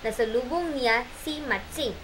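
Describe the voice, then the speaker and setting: Narrator for a homemade puppet show: woman, bright high voice, informal storytelling delivery. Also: measured pace, slight background noise, poor audio. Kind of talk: amateur storytelling, informal rehearsal.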